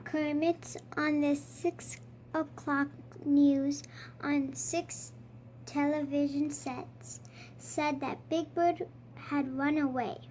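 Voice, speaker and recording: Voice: high voice, steady tone, slow pace, a little mellow, low-quality recording. A child reading a children's picture book aloud as a storyteller, with faint noise in the recording. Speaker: storybook reader.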